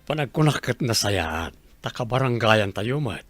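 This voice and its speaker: A man's voice: medium pitch, sing-song, moderate pace, a bit bright. A man with an Ilocano accent playing an earnest middle-aged father in a radio drama. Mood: earnest, tentative.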